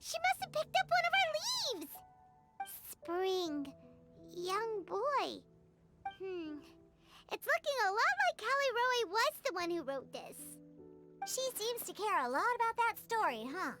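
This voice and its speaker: A woman voicing a small, excitable, childlike fairy companion in a video game, with a squeaky voice. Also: unhurried, music in the background, exaggerated voice. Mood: curious. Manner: excitable, speaks of herself in third person. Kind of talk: animated video game voice acting.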